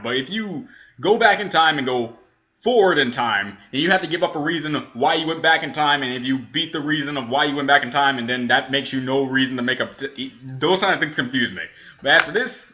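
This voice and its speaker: A man's voice: mid-range voice, sharp tone, highs cut off. A man giving casual off-the-cuff reaction commentary, rambling and stumbling over his words as he thinks aloud. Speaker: reaction video host.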